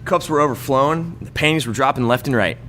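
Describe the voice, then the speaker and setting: A man's voice: medium pitch, fast, fairly bright tone, a little hiss or hum. A man doing a live reading of a bawdy comic story, stumbling over words and breaking into laughter now and then. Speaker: comedy troupe member reading own story.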